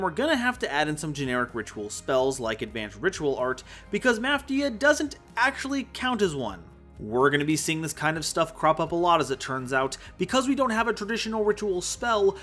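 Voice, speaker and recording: A man presenting scripted card game commentary in an animated, playful way, with conversational asides. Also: fairly high pitch. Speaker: host of a card game analysis channel.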